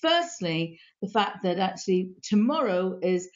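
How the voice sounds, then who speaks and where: British woman, live-stream host, mid pitch, lo-fi audio. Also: very animated, a little slow. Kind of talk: live stream talk show.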